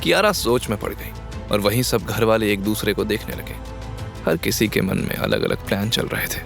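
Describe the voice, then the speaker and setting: A man's voice: on the low side, animated, normal speed, creaky, soft background music. A man narrating a scripted audio drama story with dramatic delivery.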